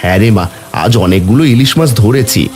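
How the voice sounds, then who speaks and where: An earnest village fisherman and father, played by a man, with a very animated mid-range voice over faint music. He is a humble cartoon seller. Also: fairly low pitch, fast talker, close to an everyday voice. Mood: worried. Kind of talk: Bengali cartoon story voice acting.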